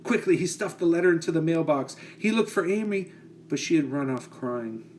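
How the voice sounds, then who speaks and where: A man reading a children's picture book aloud with expressive, dramatic phrasing. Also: a little high, normal speed, a little hiss or hum.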